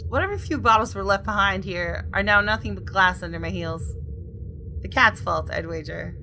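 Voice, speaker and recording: A woman gaming host reading visual novel narration aloud in an expressive, storytelling way with casual asides. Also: mid-range voice, normal speed, gravelly, a little hiss or hum.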